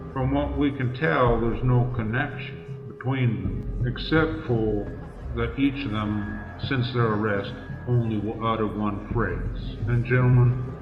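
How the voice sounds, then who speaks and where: A man's voice: medium pitch, natural voice. A man playing an earnest, authoritative police chief inspector, formal and polite, in old-time radio drama style. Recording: echoey hall, muffled, crowd murmur.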